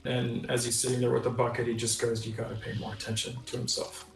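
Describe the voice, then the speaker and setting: Flat-toned man in an echoey room. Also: medium pitch, fast, vocal fry, recorded from a distance, soft background music. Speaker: player. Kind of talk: improvised roleplay narration. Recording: roomy echo, away from the mic.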